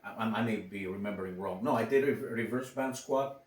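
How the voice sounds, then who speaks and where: A man with a Greek accent chats as a podcast host, stumbling and restarting his sentences. His voice sits fairly low. He sounds set back from the mic in a roomy, echoing space. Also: recorded from a distance. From Greece. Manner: halting, many restarts, curses freely. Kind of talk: casual interview chat.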